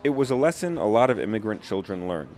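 A man reporting, reading a scripted news narration with measured, even delivery. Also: lowish voice, slight background noise.

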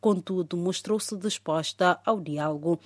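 A woman's voice: on the low side, a little slow, no room sound. A woman narrating a scripted radio news report as a reporter.

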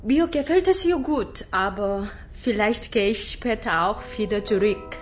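A woman playing a gentle young female student from Hong Kong, speaking carefully and deliberately in a scripted language-course radio drama. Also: mid-range voice, soft background music, lightly acted. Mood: earnest.

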